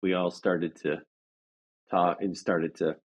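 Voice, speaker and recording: Male interview guest, lowish voice, conversational, halting and agreeable storyteller. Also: little pitch movement, husky. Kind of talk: casual anecdote in an interview.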